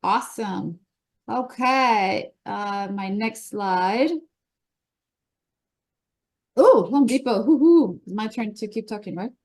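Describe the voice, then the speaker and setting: A woman's voice: mid-range voice, takes it slowly, pauses often, a little mellow. Enthusiastic woman with a Colombian accent. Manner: enthusiastic, chatty presenter. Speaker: meeting host. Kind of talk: upbeat meeting announcements.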